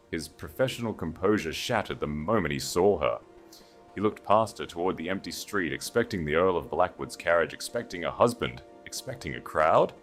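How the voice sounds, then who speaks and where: Male audiobook narrator: low register, dramatic and solemn, measured literary delivery, highly varied intonation.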